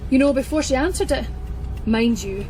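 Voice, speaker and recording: An actress playing an eager, apologetic young Scottish police detective in a radio drama, rambling nervously. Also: female voice, high-pitched, lively intonation, normal speed, darkish tone, low-quality recording. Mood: chatty. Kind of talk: naturalistic radio drama acting. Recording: car interior with engine noise.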